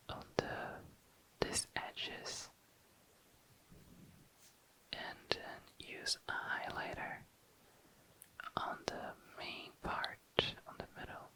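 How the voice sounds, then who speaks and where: A woman softly whispering in an unhurried way, narrating a craft session for an ASMR video in breathy, unvoiced speech. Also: poor audio. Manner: soft, unhurried, repeats phrases.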